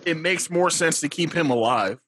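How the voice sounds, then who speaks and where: A man playing a tabletop game over a call, with a naturally loud voice and lots of fillers. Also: a little high, animated, slightly rough, no room sound. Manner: loud, talks fast with filler words. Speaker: player. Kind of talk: casual chat while planning in a tabletop game. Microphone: video call camera mic.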